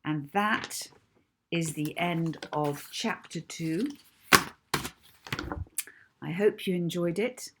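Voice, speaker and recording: A woman author reading her own children's book aloud in an expressive storytelling style. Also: on the low side, drawn-out words, long pauses, noisy background. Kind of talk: expressive children's audiobook narration.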